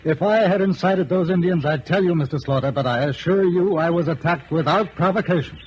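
An actor playing a polite, earnest painter in a radio western, speaking in a formal, proper way. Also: male voice, on the high side, a little fast, darkish tone, lightly acted. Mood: indignant. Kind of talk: 1950s radio western acting. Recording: dull old radio recording, faint noise.

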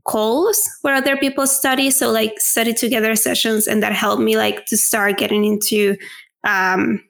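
A woman's voice: fairly high pitch, flat delivery, normal speed, vocal fry, fairly bright tone. A woman in her twenties from Venezuela, a native Spanish speaker, answering interview questions candidly with frequent fillers. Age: 20s. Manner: open, candid, with filler words like "like" and "um". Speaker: podcast guest.

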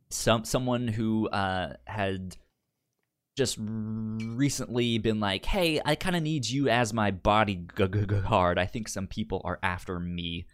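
A man hosting a podcast in casual review chat, speaking haltingly with stammered, repeated syllables. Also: fairly low pitch. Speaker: podcast host.

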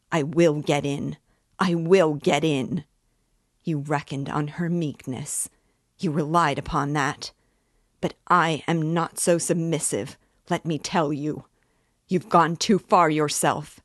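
A woman's voice: sits low, no room sound, clean studio sound. Woman voicing a frantic shrieking widow. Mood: defiant. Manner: crying out, pleading, rising into shrieks. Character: frantic consumptive widow, shrill and desperate. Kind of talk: audiobook character voice.

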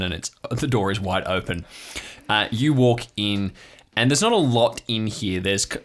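A man's voice: on the low side, fairly bright tone. A man narrating as game master in an improvised tabletop story, describing scenes in an informal, conversational way.

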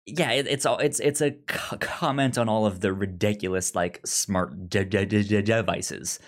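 A man co-hosting a film podcast in casual conversation, halting and often stammering over the starts of words. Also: on the low side. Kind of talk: casual movie discussion.

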